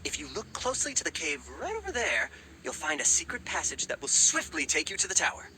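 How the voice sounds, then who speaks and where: Game raven: very bright, sharp voice, wildly swooping pitch, pompous, stammering, lovestruck. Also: male voice, wildly swooping pitch, brisk, exaggerated voice, low-quality recording. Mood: proud. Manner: stammering, fawning, old-fashioned courtly speech. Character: flustered, lovestruck, pompous talking male raven guide. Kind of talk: animated game character acting.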